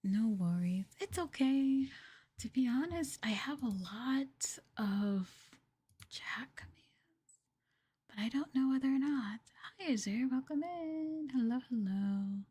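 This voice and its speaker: A woman speaks softly and slowly into a close microphone, her voice breathy and often slipping into a whisper. She chats in a gentle, playful, casual way, as an ASMR streamer does. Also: high voice, expressive. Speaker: ASMR livestream host. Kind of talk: soft-spoken ASMR casual chat with viewers.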